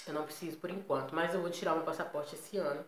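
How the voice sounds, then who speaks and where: Brazilian woman vlogger, Brazilian Portuguese accent, lowish voice, chatty and emphatic, echoey room. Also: fairly even intonation, quick pace. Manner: chatty, emphatic, frequent tag questions. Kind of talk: casual personal vlog to viewers.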